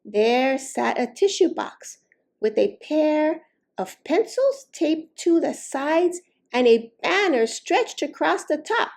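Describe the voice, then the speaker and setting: A woman reading a children's story aloud expressively, with slow, deliberate, dramatic emphasis on key words. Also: high voice, darkish tone. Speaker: storytime reader.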